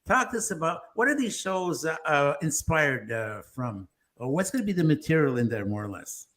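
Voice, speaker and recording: A man co-hosting a casual talk show, asking questions haltingly with fillers and a joking touch. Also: a little high, sing-song, fairly bright tone. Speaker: co-host.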